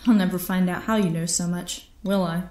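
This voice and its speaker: A woman's voice: lowish voice, steady tone, a little fast, a little mellow, close to an everyday voice. An actress playing a proud, bitter female captain held prisoner, with theatrical, formal phrasing in a radio drama. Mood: bitter, resolute. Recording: roomy, echoing sound.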